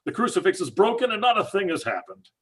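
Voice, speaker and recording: A man's voice: sits high, big pitch swings, fast, a bit bright, husky, exaggerated voice. An actor playing a stubborn, skeptical father, blustering and scornful. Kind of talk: old time radio drama acting.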